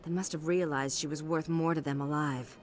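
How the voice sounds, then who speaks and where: Game diplomat played by a woman: wealthy, anxious, measured and formal, lowish female voice, music behind. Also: steady tone, close to an everyday voice. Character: wealthy, anxious alien diplomatic emissary pleading for her sister. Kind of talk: video game dialogue acting.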